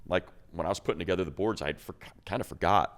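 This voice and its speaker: Very low male voice, sports analyst, casual football breakdown, slangy and conversational. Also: racing.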